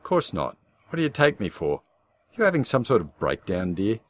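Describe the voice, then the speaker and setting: A man doing a gruff, short-tempered husband. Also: lowish voice, huge pitch swings, moderate pace, pitched above the performer's own voice. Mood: cross. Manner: gruff, snapping, cross. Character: short-tempered, guilt-ridden middle-aged husband.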